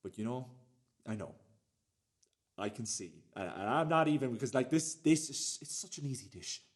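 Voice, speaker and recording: A man acting in a radio play as a cocky, sweary, smug storyteller who speaks in halting, repetitive phrases. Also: mid-range voice, expressive, natural voice. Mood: smug, hushed relish. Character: cocky, sweary man smugly recounting a dinner date. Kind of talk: radio play dramatic monologue.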